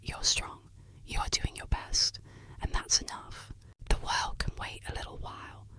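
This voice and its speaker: A breathy, gentle whisper, speaking soothing and reassuring words in the style of an ASMR guided relaxation session. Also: a little slow. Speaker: ASMR therapist roleplayer.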